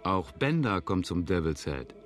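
A male actor playing a scheming, scornful outlaw who poses as a general in a western radio play, smooth-talking but quick to turn contemptuous. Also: low voice, sing-song. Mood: sly, casual. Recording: studio radio play with music behind.

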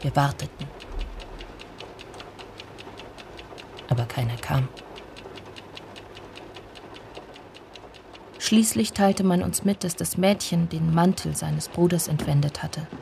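An actor playing a somber villager who narrates a radio drama in a measured, brooding way. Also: medium pitch, gravelly, darkish tone, slight background noise, natural voice. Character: somber village narrator recalling an old tragedy. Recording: music bed under the voice. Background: music.

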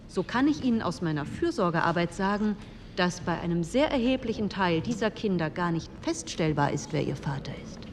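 A woman playing an insistent, practical female politician in a radio drama, arguing her case in a parliamentary speech. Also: fairly low pitch. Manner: insistent, argumentative. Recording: reverberant hall, faint noise.

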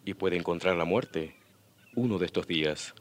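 A man plays a grave priest in a radio drama. His very deep voice is solemn and cautioning, like a superior giving counsel. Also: moderate pace, natural voice. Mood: grave warning. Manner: solemn, admonishing.

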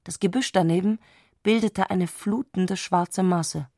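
A woman narrates a literary radio play. Her voice sits on the low side for a woman. The recording is dry, with no room around the words, and she reads as a scripted storyteller. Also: unhurried, darkish tone, no echo.

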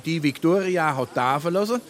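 Actor as pompous, animated Hessian armchair politician. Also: male voice, fairly high pitch, normal speed, lightly acted. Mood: pompous, lecturing. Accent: Hessian, Darmstadt dialect. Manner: pompous, lecturing. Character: self-important middle-aged family man, newspaper-mad armchair politician. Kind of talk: dialect stage comedy acting.

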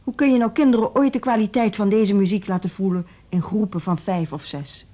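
An actress playing a fervent, principled music teacher in a radio drama, with insistent, indignant delivery. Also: female voice, medium pitch, brisk, mellow, lightly acted. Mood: earnest, insistent.

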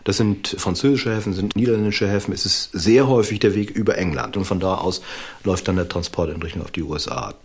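Very deep, husky male expert. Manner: didactic, emphatic repetition and listing. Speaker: expert interviewee, historian. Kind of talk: explanatory interview talk.

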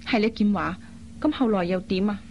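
An actress playing a worried, caring young woman in a radio drama, with an earnest, expressive delivery. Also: mid-range voice, a little fast, lightly acted. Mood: concerned. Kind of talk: Cantonese radio drama acting. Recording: dull lo-fi recording, faint noise.

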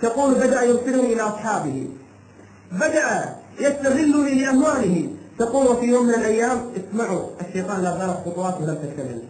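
A man's voice: very high voice, animated, quick pace, darkish tone. A man preaching an impassioned sermon, telling a cautionary tale in short, emphatic phrases with dramatic repetition. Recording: echoey room sound with light background noise.

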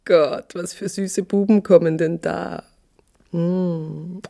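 An actress playing a worn, teasing provincial prostitute in a radio drama, with a drawling, scornful, smoky delivery. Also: female voice, lowish voice, steady tone, slow pace, vocal fry, warm tone. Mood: mocking, flirtatious. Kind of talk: German radio drama acting.

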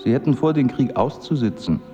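An actor playing a courteous, inquisitive wanderer with a formal manner in a literary radio drama. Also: male voice, medium pitch, expressive, brisk, highs cut off, music in the background, natural voice. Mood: curious, courteous. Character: courteous, curious, pondering male wanderer.

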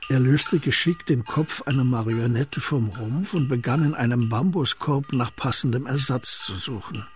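An actor narrating a detective radio drama in a composed, scripted storytelling style. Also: male voice, mid-range voice, normal speed, faint music behind, close to an everyday voice.

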